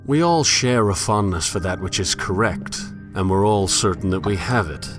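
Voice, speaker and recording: Man acting a deep-voiced, brooding philosophical loner. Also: animated, normal speed, natural voice. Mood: solemn, introspective. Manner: musing, introspective, formal literary phrasing. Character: brooding, philosophical male loner narrating his wanderings. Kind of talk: scripted first-person game monologue. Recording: long echoing reverb under music.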